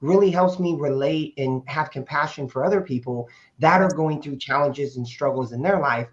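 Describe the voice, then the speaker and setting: A man in his fifties from Portland, Oregon, a raspy-voiced guest, hoarse from a weekend of speaking and telling his story in a rambling, casual way full of fillers and restarts. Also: fairly high pitch, moderate pace. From Portland, Oregon, USA. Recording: dull lo-fi audio.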